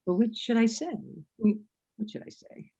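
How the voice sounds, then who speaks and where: A woman's voice: mid-range voice, lively intonation, creaky, dark tone, slightly rough, lo-fi sound. An actress playing an anxious, witty woman who overthinks every word with dry asides, in a stage comedy. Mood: wry. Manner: wry, overthinking, quick asides. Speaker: actor in a one-act play. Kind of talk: stage comedy inner monologue. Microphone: video call.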